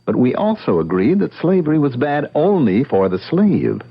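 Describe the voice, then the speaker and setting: An actor playing an earnest, stubborn young American bachelor in an old-time radio drama, explaining himself emphatically. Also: male voice, fairly high pitch, wildly swooping pitch, moderate pace, breathy edge, full character voice. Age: young adult. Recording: muffled radio drama recording.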